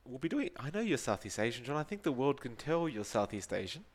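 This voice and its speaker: A man's voice: medium pitch, big pitch swings, fast talker. An Australian man doing play-by-play esports commentary with chatty, joking banter. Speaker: esports caster.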